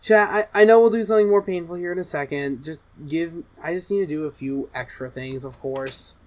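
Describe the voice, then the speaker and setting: A woman's voice: fairly low pitch, brisk, lightly acted. Woman playing a gleefully sadistic gamer girl streamer. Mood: mischievous, smug. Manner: excitable, mischievous, giggly. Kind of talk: roleplay of a livestream.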